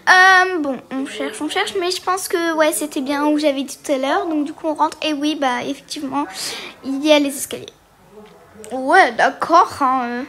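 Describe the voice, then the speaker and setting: High-voiced woman chatting through a game. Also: steady tone, normal speed, sharp tone. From Portugal. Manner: hesitant, many fillers, chatty. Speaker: gaming video creator. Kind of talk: casual game walkthrough commentary.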